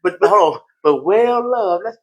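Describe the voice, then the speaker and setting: A male podcast host with a fairly high voice that swings widely in pitch. He talks casually and conversationally, circling back over his phrases with lively, easygoing energy. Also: normal speed. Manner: animated, repeats phrases, laughs often.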